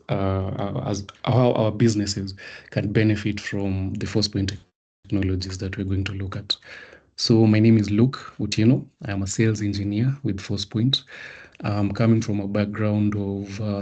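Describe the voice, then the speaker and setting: A man's voice: on the low side, darkish tone. A man working as a sales engineer, giving a technical sales presentation on an online call with occasional fillers. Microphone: online meeting audio.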